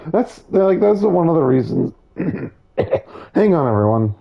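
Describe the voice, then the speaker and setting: A hoarse man losing his voice, giving casual, opinionated, digressive commentary on a video game. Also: on the high side, sing-song, unhurried, dull audio. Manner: opinionated, digressive, many restarts.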